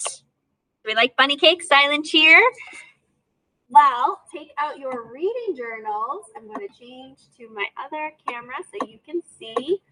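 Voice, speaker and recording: A woman's voice: high voice, animated, measured pace, pushed and bright, rough recording. A woman, an elementary teacher leading a read-aloud lesson for young children, asking questions and prompting as she goes.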